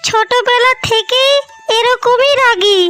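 A woman's voice: very high-pitched, steady tone, slow pace, pushed and bright, heavily acted. A woman voicing an earnest, sorrowful young married village sister in a cartoon story, with a pleading delivery. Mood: pleading, caring.

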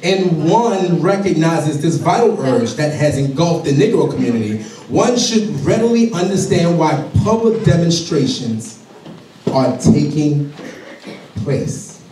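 Distant echoing hall recording, man, high mellow voice, oratorical reading, deliberate pauses. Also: lively intonation. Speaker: public reader at a community program. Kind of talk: dramatic public reading of a famous letter aloud. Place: large indoor hall, on stage before a seated audience. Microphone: stage microphone through speakers, recorded by far camera in audience. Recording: echoey hall from far off, faint noise.

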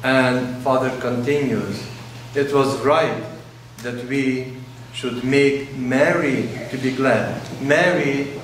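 Slow-preaching priest in an echoey church. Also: male voice, mid-range voice, fairly even intonation, slight background noise. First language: Russian. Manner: slow, pausing delivery in short phrases. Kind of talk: sermon. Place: large church, indoors. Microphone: camera at a distance. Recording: very echoey church, distant mic.

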